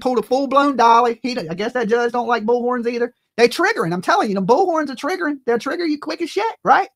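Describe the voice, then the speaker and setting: Medium-pitched voice, informal online commentary, emphatic delivery, colloquial salty language, frequent tag questions. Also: a bit bright. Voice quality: colloquial, salty, profane speech. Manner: emphatic, informal, repeatedly tags phrases with "right" and "okay". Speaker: commentary channel host. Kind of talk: casual opinionated commentary to viewers.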